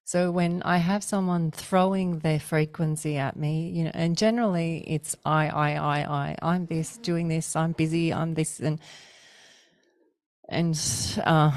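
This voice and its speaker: A woman with a notably low voice, speaking slowly and reflectively as a guest in a spiritual conversation. She often pauses to search for her words, and the recording is close and dry. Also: darkish tone, dry recording.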